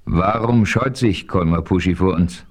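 A man playing the calm, authoritative hero who narrates in the first person, in a radio play. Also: deep voice, unhurried.

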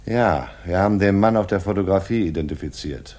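Man playing gruff police inspector in a radio crime play, very low dark voice, dry and official. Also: fairly quick, lightly acted. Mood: dry, businesslike. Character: gruff, dryly humorous male police inspector. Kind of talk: crime radio drama acting.

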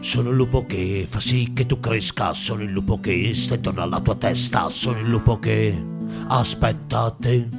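A man's voice: lowish voice, normal speed. A man delivering repetitive, incantatory verse as a song with guitar. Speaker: guitarist and singer. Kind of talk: sung or chanted verse with guitar. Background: prominent acoustic guitar.

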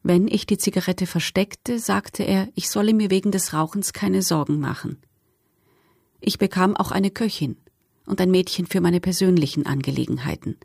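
A woman's voice: lowish voice, normal speed, vocal fry, darkish tone, dry recording, clean studio sound. A woman playing a former camp prisoner, giving sober, factual testimony in a documentary radio drama. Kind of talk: documentary radio drama, read witness statement.

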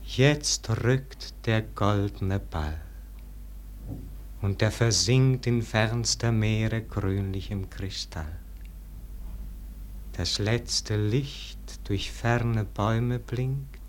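A man's voice: on the low side, slight background noise. An actor playing a melancholy, yearning nobleman in a classic radio verse drama, declaiming lyrically in standard German stage diction. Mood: solemn, subdued. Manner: solemn, lyrical, drawn-out declamation.

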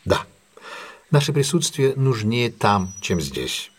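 An actor playing a shrewd, self-assured detective in an audio drama, with a husky voice and a brisk, imperious, theatrical manner. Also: male voice, medium pitch, sing-song, heavily acted. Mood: assured, courteous. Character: commanding, shrewd, self-assured male detective plotting a trap.

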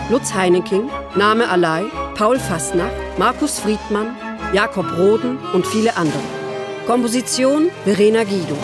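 A woman reading scripted credits as an announcer for a radio drama. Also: mid-range voice. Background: orchestral music with violin.

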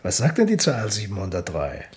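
An actor playing a courteous, knowing hotel porter in a radio play, formal with a dry, pointed manner. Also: male voice, lowish voice, huge pitch swings, fairly quick.

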